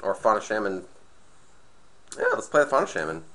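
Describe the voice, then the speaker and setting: A man with a slightly raspy, nasal voice from being sick, casually commentating a card game match and thinking aloud as he plays. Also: fairly low pitch, sing-song, brisk, fairly bright tone. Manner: thinking aloud, hesitant restarts. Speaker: gameplay commentator.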